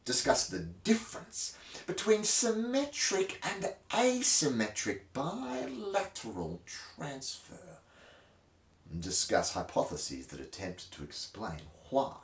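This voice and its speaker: A man giving a melodramatic dramatic reading, with swelling emphasis that drops away into hushed phrases. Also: mid-range voice, vocal fry. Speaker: host reading a textbook aloud. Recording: roomy echo, distant microphone.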